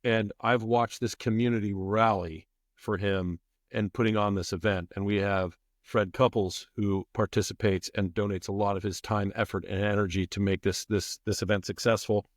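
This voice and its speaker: A man's voice: fairly low pitch, fairly even intonation, normal speed, no echo, clean studio sound. A middle-aged man talking as a podcast guest in an emphatic, conversational way, repeating phrases for stress. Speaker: podcast guest, former public address announcer. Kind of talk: spirited conversational interview.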